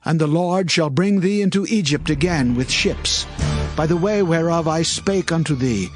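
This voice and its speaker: A man plays a solemn prophet leading his people in a scripture audio drama. He declaims each phrase deliberately, with grave weight. Music plays clearly behind his voice. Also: fairly high pitch, close to an everyday voice. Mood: grave warning. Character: Moses, solemn male prophet and leader addressing his people. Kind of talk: dramatized scripture reading in King James English.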